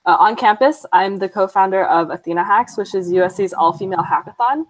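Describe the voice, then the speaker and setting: A young woman from Arizona introduces herself in a brisk, upbeat way. Her voice sits at a middle pitch. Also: low-quality recording. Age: young adult. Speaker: student ambassador. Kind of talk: casual self-introduction.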